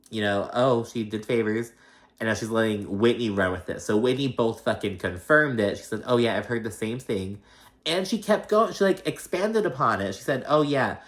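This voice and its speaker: A man with a low voice and a slight lisp hosts a recap. He talks casually and gossipily, swears freely, and retells other people's exchanges in an animated way. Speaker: host of a reality TV recap show.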